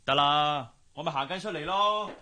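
A kindly young wealthy gentleman. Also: a little high, normal speed, a little hiss or hum. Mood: exasperated. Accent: Hong Kong. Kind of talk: Cantonese radio drama acting.

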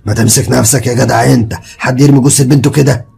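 A man with an Egyptian accent voicing a rough, impatient male gravedigger who talks in a loud, brusque way. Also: medium pitch, fairly even intonation, very fast, pitched above the performer's own voice, full character voice. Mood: gruff.

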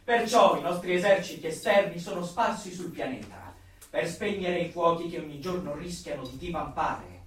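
An actor in a radio drama playing a fanatical, grandiose party intellectual who declaims emphatically and swings into exalted outbursts. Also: male voice, high-pitched, moderate pace, far from the mic, lightly acted. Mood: solemn. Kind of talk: theatrical radio drama acting. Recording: very echoey room, off the mic.

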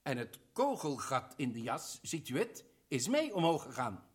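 An actor playing a commanding colonel who lays out an accusation forcefully and deliberately. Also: male voice, mid-range voice, sing-song, breathy edge. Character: commanding male colonel investigator. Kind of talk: old radio drama acting.

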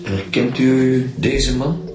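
An actor with a Flemish accent playing a calm, polite male police detective who questions a witness. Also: mid-range voice, takes it slowly, roomy sound, lightly acted. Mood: probing. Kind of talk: radio drama acting.

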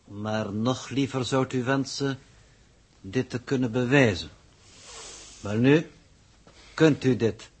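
An actor playing a cryptic, unruffled impostor coordinator in a 1960s radio drama, speaking formally and precisely with mocking courtesy. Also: male voice, medium pitch, slow pace, pauses often, breathy edge. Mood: calmly taunting. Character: cool, cryptic unmasked impostor, spaceship's brilliant coordinator. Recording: muffled early 1960s radio recording.

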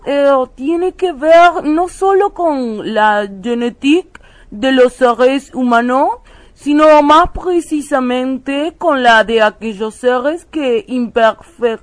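An actress plays a French woman scientist in a radio play, with a raised pitch and a French accent. She speaks slowly and formally, hesitating often as she delivers solemn pseudo-science. The sound is dry and close. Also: dry recording. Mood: courteous, hesitant. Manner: halting, hesitant, formal lecturing. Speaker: interviewed expert guest. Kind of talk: satirical radio theatre interview.

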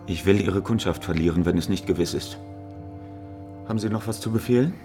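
Callous hired killer, very deep and gravelly, sly, matter-of-fact, vintage 1950s radio drama. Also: male voice, a little fast, over music. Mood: businesslike. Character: callous, businesslike male hired killer, rough bandit.

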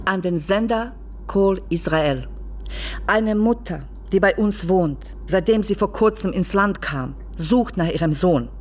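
An actress playing an earnest woman reading out a scripted radio appeal in a measured way. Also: medium pitch, slight background noise, close to an everyday voice.

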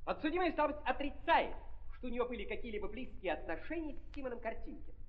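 An actor playing a pompous, insinuating court prosecutor with affected, pedantic phrasing, in 1930s stage radio style. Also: male voice, extremely high pitch, very animated, quick pace. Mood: insinuating, smug. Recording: muffled lo-fi 1930s recording.

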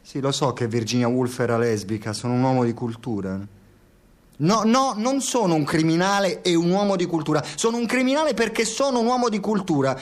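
High-pitched sarcastic, frustrated scientist played by a male actor. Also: animated, brisk, natural voice. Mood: indignant, pompous. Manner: sarcastic, profane, ranting. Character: frustrated, foul-mouthed male scientist turned reluctant playwright. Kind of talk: Italian radio theatre acting.

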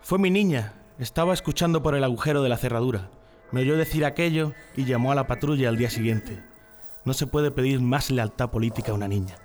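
A man's voice: medium pitch, fairly quick, a little mellow, natural voice. A man with a Spanish accent from Spain playing a naive, loyal party man in jail, earnest and confiding, in a radio drama. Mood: proud. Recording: music behind the voice.

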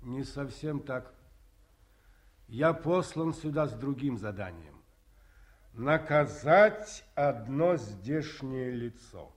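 An actor playing a stern middle-aged army colonel who tells stories with sardonic bite, swinging between hushed and barking. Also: male voice, medium pitch, animated, slow pace. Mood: grim. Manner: sardonic storyteller, swings between hush and bark. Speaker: actor in a radio play. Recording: 1950s studio radio recording.

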